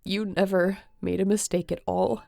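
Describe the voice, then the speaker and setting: An exhausted, devoted woman space adventurer, played by a voice actress in an intimate sci-fi audio drama. Her mid-pitched voice has a very heavy, gravelly creak. She speaks earnestly and tenderly, in halting, guilt-laden phrases. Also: level tone, mellow, no room sound, studio quality. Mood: anguished confession.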